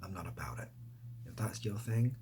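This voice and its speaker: A man speaking softly in a casual ASMR style, breathy and often dropping to a whisper, rambling hesitantly with a self-deprecating manner. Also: on the low side, normal speed, very noisy. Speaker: ASMR video creator and host. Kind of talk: soft-spoken ASMR, casual question-and-answer to camera.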